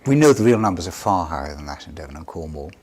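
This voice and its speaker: A man's voice: low voice, big pitch swings, quick pace, a little mellow. A man speaking for a charity in an interview, explaining things in an earnest way. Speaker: charity representative being interviewed. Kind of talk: interview answers. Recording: clear background noise.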